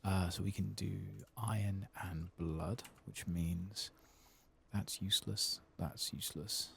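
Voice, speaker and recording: A man giving soft-spoken, sometimes whispered ASMR gameplay commentary, murmuring and musing as if to himself. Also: low-pitched, fairly even intonation, takes it slowly, creaky, slight background noise. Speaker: game streamer.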